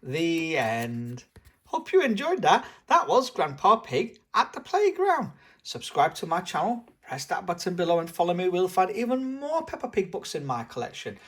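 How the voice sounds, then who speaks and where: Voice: medium pitch, huge pitch swings, moderate pace, a bit bright, husky. A host reading a picture book aloud expressively for young children. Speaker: storybook channel host. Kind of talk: children's read-aloud narration.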